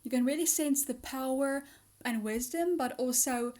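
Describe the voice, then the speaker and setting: A young woman with a raspy, hoarse voice from being sick, chatting casually and gushing as she reviews a show. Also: high voice, moderate pace, a little mellow. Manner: gushing, chatty, with many fillers.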